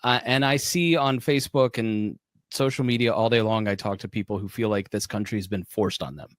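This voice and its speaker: A man's voice: fairly low pitch, fairly quick, no room sound, studio quality. A man hosting a podcast interview, enthusiastic and probing, often checking in with "right?". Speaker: podcast host. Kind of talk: conversational interview.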